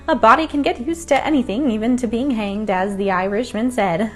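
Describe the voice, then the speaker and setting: A woman reader performs a busybody country housewife in a storybook tale. Her voice sits high and lifts with emphasis. She is chatty, folksy and full of opinions, with music playing behind her. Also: brisk, lightly acted. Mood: musing, disapproving. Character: nosy, opinionated middle-aged country housewife, puzzled and judging.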